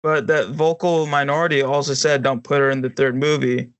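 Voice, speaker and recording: A man's voice: mid-range voice, level tone, fairly quick, background noise. A man co-hosting a podcast in a casual film discussion. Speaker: podcast co-host.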